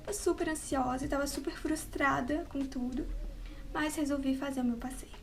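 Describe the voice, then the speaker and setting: High-voiced chatty woman vlogger. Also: steady tone, moderate pace. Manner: chatty, laughs often, trails off with "né". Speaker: travel vlogger. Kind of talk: unscripted casual storytelling. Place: at home, with cats nearby.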